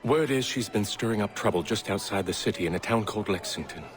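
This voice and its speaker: A rough-edged henchman, voiced by a male game actor with a fairly low voice. He is gruff and terse with his commander, and faint music plays underneath. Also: fast talker, lightly acted. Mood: reporting. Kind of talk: scripted video game acting.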